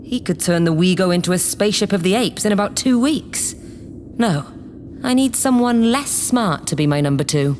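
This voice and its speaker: A woman's voice: mid-range voice, animated, moderate pace, a little mellow, a little hiss or hum, lightly acted. A woman playing an imperious, scheming acting leader of a spaceship in a sci-fi comedy audio drama, commanding, condescending and sarcastic. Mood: smug, grandiose.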